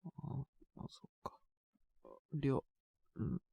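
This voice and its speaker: A man playing a sick, stubborn but shy teenage boy in an intimate Japanese audio drama, speaking in a halting, casual, congested mumble. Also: dark tone, dry recording, close to an everyday voice. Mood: bashful, reassuring. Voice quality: congested cold-sick voice with coughs.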